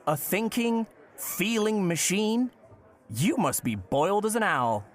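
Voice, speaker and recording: An actor playing a courteous, curious, old-fashioned gentleman traveler in a period audio drama, with polite and playfully florid speech. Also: high voice, animated, takes it slowly, some chatter behind. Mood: incredulous, amused.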